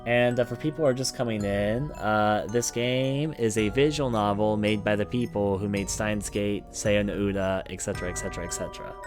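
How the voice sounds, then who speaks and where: A man's voice: lowish voice, steady tone, a little fast, hardly any pauses. Man reading a story aloud over music. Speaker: let's play commentator. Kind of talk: reading a visual novel aloud with commentary. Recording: music behind the voice. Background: visual novel music.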